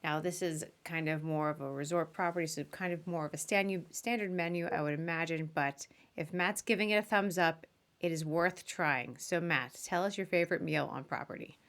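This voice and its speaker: Podcast host, female, slightly low voice, chatty and informal, conversational interviewing. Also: fairly quick, fairly bright tone.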